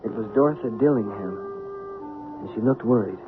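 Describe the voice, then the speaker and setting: A man's voice: mid-range voice, brisk, natural voice. An actor playing an earnest Broadway producer's assistant, telling his story conversationally in an old-time radio drama. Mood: reflective storytelling. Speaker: actor and narrator. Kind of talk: old-time radio drama acting, first-person narration. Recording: narrow-band old radio with loud music.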